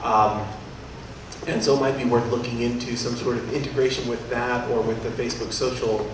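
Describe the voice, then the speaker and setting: A man's voice: medium pitch, little pitch movement, moderate pace. A man giving spoken feedback on a startup idea, hesitant, with many ums and restarts. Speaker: audience member or judge giving feedback. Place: university event hall. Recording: echoey hall, distant mic, noisy.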